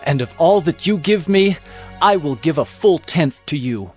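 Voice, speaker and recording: A man's voice: fairly high pitch, expressive, moderate pace, darkish tone, faint music behind, lightly acted. An actor playing an earnest young male traveler, speaking emphatically in dramatized scripture. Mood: earnest vow.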